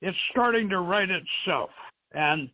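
Guy hosting a radio story hour, kinda high voice, muffled lo-fi phone-like sound. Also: lively intonation, measured pace. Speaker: show host and novelist reading own story. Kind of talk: radio show introduction and dramatic reading. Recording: muffled narrow-band internet radio sound.

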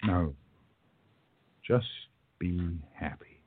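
An actor playing a self-described old curmudgeon of a time enforcer in a radio play, earnest and dry, with feeling underneath. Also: male voice, low voice, very slow, slightly rough, heavily acted. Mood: weary, kind. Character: stern yet kindly, heartbroken self-styled old curmudgeon, time enforcer. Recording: tinny phone-like line with music.

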